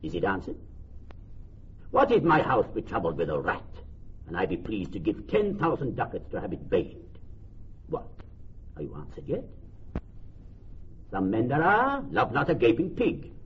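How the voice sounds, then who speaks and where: An actor playing a vengeful, defiant moneylender in a Shakespearean radio drama, with theatrical, biting emphasis. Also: male voice, medium pitch. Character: vengeful, defiant male moneylender with bitter scorn. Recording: muffled, faintly noisy old broadcast recording.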